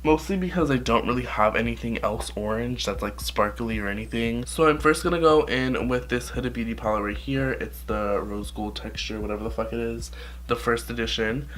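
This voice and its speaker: A man's voice: mid-range voice, brisk, fairly bright tone. A young man with a stuffy, nasal voice from a cold, chatting loosely through a makeup tutorial into his camera, swearing and saying "like" constantly. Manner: rambling, profane, filler-heavy. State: sick, nasal. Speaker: makeup vlogger.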